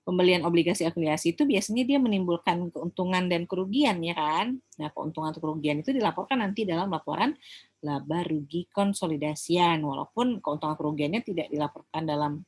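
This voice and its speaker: A woman's voice: lowish voice, slightly rough. A woman with an Indonesian accent giving an informal lecture, explaining in a conversational way and often checking that listeners follow. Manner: conversational, often checking understanding with tag questions. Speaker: accounting lecturer.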